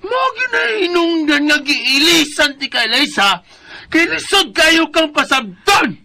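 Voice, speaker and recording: An actor with a Cebuano Filipino accent playing a drunken, defiant philandering husband in a radio drama. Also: male voice, extremely high pitch, expressive, measured pace, creaky, sharp tone, exaggerated voice. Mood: angry, defiant.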